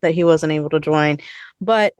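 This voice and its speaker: A woman's voice: deep voice, moderate pace, darkish tone, smooth, dry recording. A woman co-hosting a podcast in casual, conversational chat, often dropping in "like" as she talks. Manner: conversational, frequent "like". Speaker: podcast co-host.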